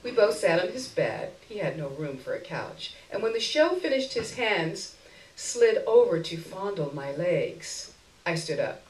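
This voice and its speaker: A woman's voice: lowish voice, very animated, unhurried. A woman reading a short story aloud expressively as a stage performance. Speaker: story reader. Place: roomy indoor stage event. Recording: roomy echo, recorded far from microphone.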